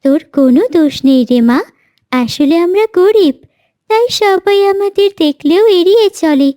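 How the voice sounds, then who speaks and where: Mother bird, acted by a woman: high voice, careworn, protective, earnest, for a children's cartoon, dry recording. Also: lively intonation, normal speed, dark tone, dry recording. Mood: sorrowful.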